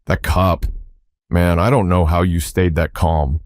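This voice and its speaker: A man's voice: sits low, level tone, unhurried, a little mellow, dry recording, clean studio sound. A man voicing a shy, admiring young worker in a dramatic story, respectful and hesitant. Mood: shy admiration. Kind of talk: dramatic audiobook-style story dialogue.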